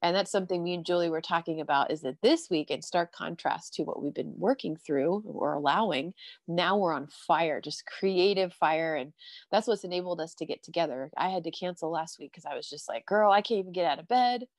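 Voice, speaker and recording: A woman's voice: fairly low pitch, brisk, no echo. A woman hosting a casual spiritual chat over a video call, a little stuffy from allergies, breezy and upbeat, often saying "you know" and "if you will". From California. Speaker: host.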